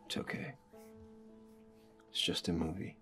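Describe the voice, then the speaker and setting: A man playing a caring boss who speaks softly and reassuringly, hesitating at times. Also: unhurried, faint music behind, close to an everyday voice. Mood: comforting. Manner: soft-spoken, reassuring, hesitant. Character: caring male boss, gentle and thoughtful. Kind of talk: amateur skit acting.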